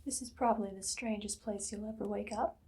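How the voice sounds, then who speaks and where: A woman voicing an imperious, menacing evil queen in a whispered ASMR roleplay, speaking softly and deliberately with a condescending, commanding air. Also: mid-range voice, fairly even intonation, natural voice. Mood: haughty. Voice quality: breathy, soft, much of it whispered.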